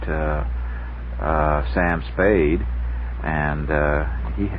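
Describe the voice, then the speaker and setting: A man giving a casual radio interview as a guest author, explaining things in a measured, hesitant way. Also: very deep voice. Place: radio studio. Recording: dull, noisy 1960s radio recording.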